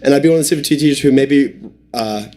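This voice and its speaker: Young man, podcast co-host; quick, excitable delivery. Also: medium pitch. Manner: excitable, tells absurd mock-serious tales. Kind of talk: comedic storytelling chat.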